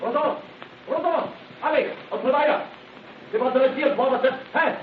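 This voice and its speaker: Furious French sea officer, shouted orders, radio drama, distant and hissy. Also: male voice, very high voice, moderate pace, phone-quality sound. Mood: angry, barking. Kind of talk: old-time radio acting. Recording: thin old radio recording, echoey, distant, noisy.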